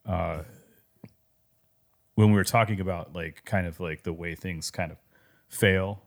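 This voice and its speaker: A man co-hosting a podcast, thinking out loud in circling phrases with sudden bursts of emphasis. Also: sits low, fairly even intonation, unhurried, gravelly. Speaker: podcast co-host. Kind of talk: casual podcast chat.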